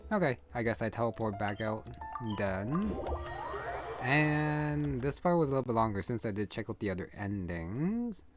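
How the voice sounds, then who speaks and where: A man narrates his video game playthrough in a casual, mid-pitched voice. He rambles and hesitates, thinking aloud, with game music playing behind him. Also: very animated, moderate pace. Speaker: gaming video creator.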